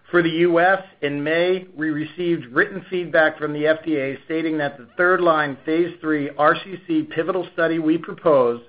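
A man giving a formal scripted business presentation as a company executive on an earnings call. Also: on the high side. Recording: dull, lo-fi conference call audio.

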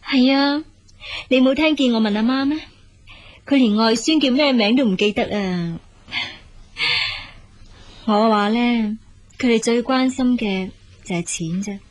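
An actress playing a practical, dutiful elder sister in a Cantonese radio drama, frank, brisk and reassuring. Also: female voice, on the high side, close to an everyday voice. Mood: frank, matter-of-fact.

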